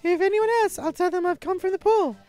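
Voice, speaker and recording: A woman's voice: very high-pitched, fast talker, mellow. A woman voicing a cheerful, ditzy young rabbit-eared schoolgirl in a visual novel, with a cutesy, girlish delivery. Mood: breezy. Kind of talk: visual novel character dubbing. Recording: music behind the voice.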